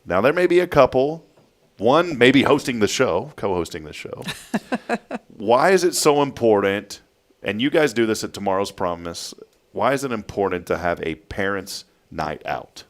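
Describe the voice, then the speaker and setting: A man's voice: fairly low pitch, big pitch swings, moderate pace. A man hosting a morning radio show in casual, jokey, upbeat banter.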